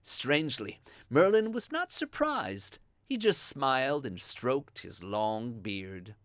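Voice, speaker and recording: A storyteller reading a scripted children's bedtime story in an expressive, animated way. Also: medium pitch, slow, no echo.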